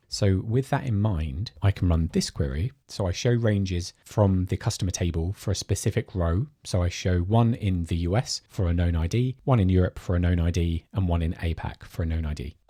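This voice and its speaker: Low, dark-voiced man explaining. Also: no room sound, clean studio sound. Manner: measured, explanatory, walks through steps. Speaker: product demo presenter. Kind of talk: scripted technical screencast narration.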